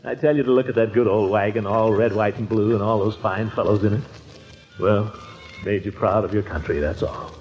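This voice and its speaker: A man's voice: on the low side, steady tone, brisk, darkish tone, close to an everyday voice. An actor playing a folksy, sentimental middle-aged man telling a story in 1940s radio comedy. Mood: proud, nostalgic. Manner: expansive, swooping storytelling. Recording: dull 1940s radio recording with music.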